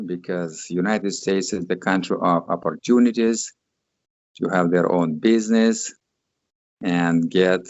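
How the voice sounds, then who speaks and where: A man in his sixties from Russia with a Russian accent, answering interview questions in halting non-native English. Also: deep voice, no room sound, dull audio. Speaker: guest.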